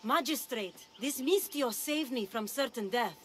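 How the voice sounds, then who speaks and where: A woman's voice: on the high side, expressive, a little slow, slight background noise. An actress playing a fierce, blunt woman hunter in a video game, speaking with urgency. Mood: grave, urgent. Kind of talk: video game cutscene acting.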